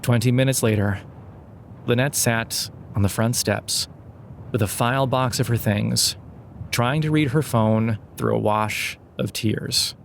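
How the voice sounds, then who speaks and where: A man reads a scripted satirical story as a podcast narrator, measured and deliberate, with wry irony. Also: medium pitch, vocal fry, a little hiss or hum. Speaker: narrator of an audio fiction podcast.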